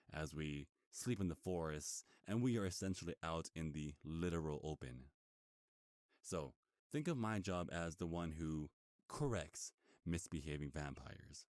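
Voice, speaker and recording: A man voicing a cocky, taunting werewolf bounty hunter in an ASMR roleplay, with a booming voice and smug, teasing menace. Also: a little mellow, dry recording, clean studio sound. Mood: smug, explanatory. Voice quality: booming deep voice.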